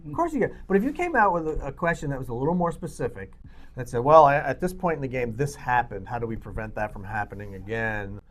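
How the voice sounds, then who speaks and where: A man with a lively, swooping delivery co-hosts a casual talk show. He makes his points emphatically and likes to argue and tease. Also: mid-range voice, fast. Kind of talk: casual banter.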